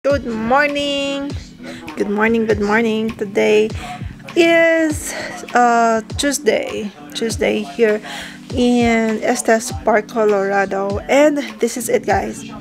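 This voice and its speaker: Slow-talking woman vlogger, gravelly voice. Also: fairly high pitch, over music. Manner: chatty, addresses viewers as guys. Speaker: travel vlogger. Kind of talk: casual travel vlog. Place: hotel room indoors, then balcony outdoors. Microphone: handheld camera at arm's length.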